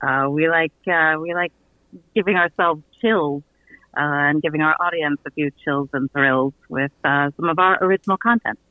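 On a thin, phone-like connection, a man talks as a theater company guest in a radio interview. His voice is on the higher side for a man, and his delivery is conversational. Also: moderate pace, dry recording. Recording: thin, narrow phone-like line.

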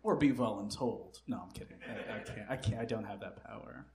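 A man's voice: on the low side, fairly quick, a little mellow. A man hosting a live comedy quiz, joking, swearing and laughing often. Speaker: comedy show host. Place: live comedy venue with audience. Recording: echoey live room, faint noise.

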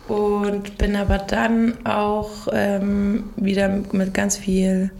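A woman's voice: medium pitch, monotone, extremely slow, darkish tone. A woman from Bavaria, Germany, chatting playfully as a guest in a casual podcast interview recorded in an office. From Germany, Bavaria (Ingolstadt). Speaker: podcast guest, managing director. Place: office room. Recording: roomy echo, clear background noise.

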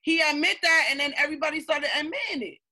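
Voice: high voice, quick pace, pushed and bright. A speaker in their late twenties in casual chat, easygoing and matter-of-fact. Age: late 20s.

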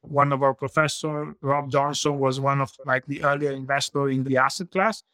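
A man's voice: medium pitch, steady tone, moderate pace, fairly bright tone, no room sound. A man in his forties from Italy with an Italian accent, a guest telling his story in a loose, conversational way. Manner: loose, sprinkled with "like", non-native grammar. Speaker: guest, search fund entrepreneur. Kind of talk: conversational interview.

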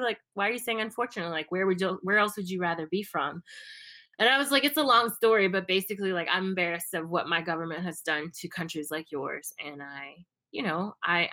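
A woman's voice: fairly low pitch, pushed and bright. A young woman from the United States talking casually and reflectively, with many fillers and restarts. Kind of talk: casual conversation.

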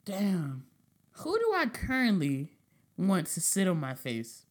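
Young woman co-host, casual blunt banter, very animated pitch. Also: slow, slightly rough. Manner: blunt, profane, laughing banter. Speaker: podcast host. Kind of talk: casual chat.

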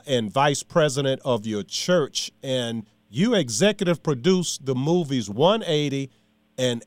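Man, talk radio host, excitable, lots of fillers, dry studio sound. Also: fairly high pitch, smooth, dry recording. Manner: excitable, laughs a lot, many fillers.

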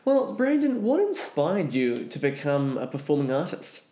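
A man's voice: a little high, sing-song, moderate pace, warm tone. A young man with an Australian accent, hosting a podcast interview in polite, prepared phrasing, recorded in a roomy space. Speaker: podcast host.

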